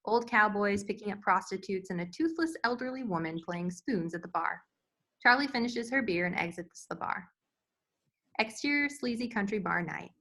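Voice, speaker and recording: A woman's voice: mid-range voice, animated, moderate pace, bright, forceful tone. A woman reading screenplay stage directions as scripted narration at a table read.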